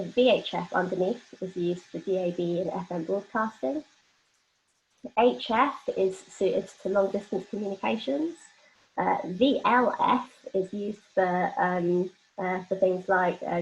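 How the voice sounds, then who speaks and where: A woman with a fairly low voice leads a workshop, explaining things in an informal way. She talks through slides and uses everyday examples. The recording is somewhat dull and lo-fi. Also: creaky. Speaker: workshop leader, presenter. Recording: dull, lo-fi band-limited audio.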